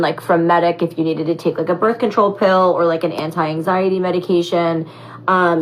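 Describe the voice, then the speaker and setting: A raspy young woman answering fan questions in a casual, animated chat, full of "like" and "um". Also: fairly low pitch, low-quality recording. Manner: animated, chatty, heavy on fillers like "like" and "um". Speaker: former reality show contestant.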